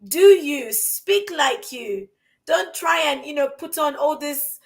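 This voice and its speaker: A woman's voice: high-pitched, animated, slow pace. A woman coach speaking as a podcast guest, emphatic and repeating her key phrases for stress. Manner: frequent restarts and fillers. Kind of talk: casual conversation.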